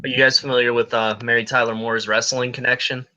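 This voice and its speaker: A man's voice: mid-range voice, flat delivery, quick pace, pushed and bright, lo-fi sound. A man co-hosting a podcast, chatting casually and telling anecdotes in a conversational way. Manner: conversational storyteller, quotes others. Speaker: podcast co-host.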